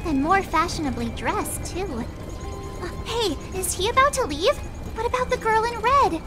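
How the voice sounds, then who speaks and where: Perky teen game adventurer, very high bouncy voice, breezy, playful, loud music over it. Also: female voice, expressive, normal speed. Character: perky, chatty teenage girl adventurer. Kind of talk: video game character dialogue.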